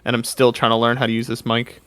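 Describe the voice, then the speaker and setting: Naturally loud man on a dry mic. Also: medium pitch, monotone, quick pace, clean tone, no room sound. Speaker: player. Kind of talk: casual chat. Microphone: USB desk mic on a video call.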